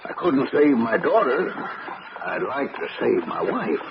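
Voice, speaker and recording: Sad middle-aged dad in radio drama, measured, a bit evasive, medium pitch, noisy old recording. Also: male voice, very animated, vocal fry, lo-fi sound. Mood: grave, resigned. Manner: halting, measured, paternal. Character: grieving older father, resigned, solicitous, quietly evasive.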